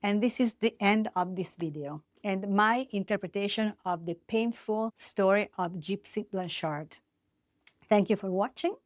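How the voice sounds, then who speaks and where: A woman's voice: mid-range voice, measured pace, no echo, clean studio sound. A woman with an Italian accent, a native Italian speaker, hosting and narrating scripted true-crime stories in short, dramatic phrases. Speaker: host of a true-crime series.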